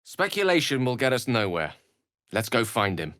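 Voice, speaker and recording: An actor with a British accent voicing a learned, proud, romantic wizard in a video game, with eloquent, slightly pompous phrasing. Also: male voice, medium pitch, very animated, normal speed, a bit bright, dry recording, clean studio sound. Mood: measured, dry. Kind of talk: video game character voice lines.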